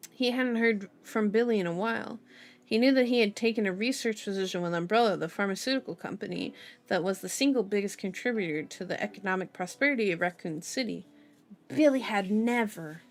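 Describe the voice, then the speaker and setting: A woman hosting an ASMR reading of a novel, breaking into playful, informal asides. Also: medium pitch. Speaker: ASMR channel host. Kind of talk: ASMR novel reading with casual side comments.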